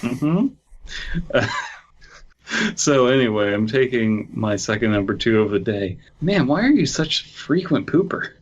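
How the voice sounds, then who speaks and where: A giggly man reads a story aloud on a podcast, cracking jokes between lines. His voice sits at an ordinary pitch and comes over a dull, muffled online call line. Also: lively intonation, measured pace. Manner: giggly, breaks into laughter while reading. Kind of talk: casual comedic banter and story reading. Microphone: online call mic.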